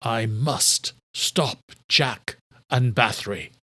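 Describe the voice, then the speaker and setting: A man's voice: mid-range voice, slow, a bit bright, no echo. A brooding male vampire ruler, voiced by an audiobook narrator. He speaks curtly and with solemn command, in a mid-range man's voice recorded dry. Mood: resolute. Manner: curt, commanding.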